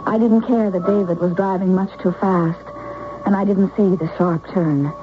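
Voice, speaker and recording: A woman's voice: medium pitch, fairly even intonation, moderate pace, narrow, tinny audio, background noise, natural voice. A woman playing a lovesick, intense young woman who tells of her doomed romance in a dramatic, confessional radio drama style. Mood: wistful, confessional. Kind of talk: old-time radio drama, first-person narration and dialogue. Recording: thin old radio broadcast, music behind.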